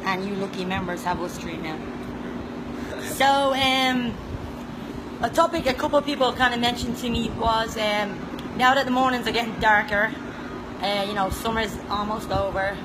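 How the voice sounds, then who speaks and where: A woman's voice: fairly high pitch, moderate pace. A woman hosting a casual live podcast with trainers, chatty, with frequent ums and laughs. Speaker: podcast host. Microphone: live stream cameras in a gym. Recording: loud background noise.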